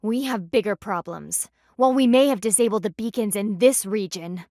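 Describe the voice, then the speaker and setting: A woman's voice: medium pitch, normal speed, dark tone, no room sound, clean studio sound, close to an everyday voice. A woman voicing a stern, proud panda warrior in a video game, with a formal, commanding, clipped manner. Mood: stern, businesslike. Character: stern, proud anthropomorphic panda woman, loyal military guardian.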